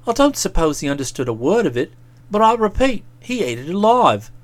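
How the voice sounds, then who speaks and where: A man playing a low-voiced, aloof English gentleman. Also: big pitch swings, a little fast. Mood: musing, drawling. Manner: cold, pompous, drawling sarcasm. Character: aggrieved, aloof young English gentleman, mock-pompous friend.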